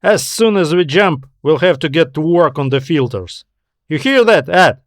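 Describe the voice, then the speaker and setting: A man's voice: a little high, animated, normal speed, no room sound. Level-headed male space pilot, read by a male narrator, persuasive, exasperated, sci-fi story, dry recording. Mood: irritated.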